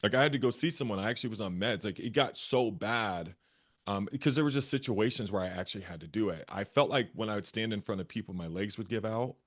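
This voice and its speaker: A man with a bass voice, a therapist and guest, earnestly telling his own story and often repeating phrases as he searches for words. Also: fast. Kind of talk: candid personal storytelling.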